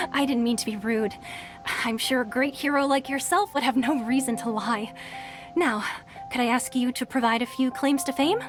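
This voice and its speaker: A woman's voice: high-pitched, lightly acted. A woman playing a polite, earnest young tournament registrar in a video game, speaking hesitantly. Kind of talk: video game character acting. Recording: light game music behind.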